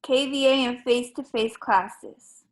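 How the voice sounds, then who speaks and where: A woman from Ecuador, a high school Spanish teacher, giving a recorded open house talk in a measured, formal way with frequent ums. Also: steady tone.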